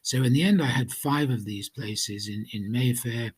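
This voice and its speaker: Welsh-raised man, husky, mid pitch, halting personal testimony. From Wales. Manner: hesitant, many ums and restarts. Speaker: guest telling his recovery story.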